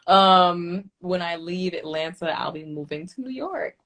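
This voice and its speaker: A woman's voice: lowish voice, animated, a little slow, fairly bright tone. A woman in her late twenties hosting a podcast, chatting casually and asking long, winding questions. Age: 20s. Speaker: podcast host.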